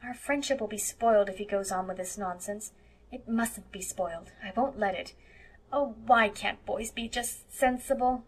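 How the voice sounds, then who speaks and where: A woman narrator plays an earnest, romantic young woman from a storybook. She speaks properly and gently, in a soft-spoken way at a medium pitch. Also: normal speed, husky, close to an everyday voice. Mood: resentful. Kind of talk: audiobook character voice.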